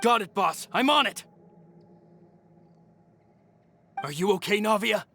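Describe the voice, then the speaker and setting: A man's voice: high voice, animated, a little fast, bright, forceful tone, a little hiss or hum, full character voice. An actor playing a loyal, urgent young male gang henchman in an anime-style game. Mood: eager, concerned.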